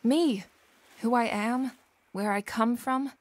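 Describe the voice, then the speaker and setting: Proud young regency castaway girl, earnest and proper, kinda high voice. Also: slow pace. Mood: indignant. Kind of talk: period audio drama acting.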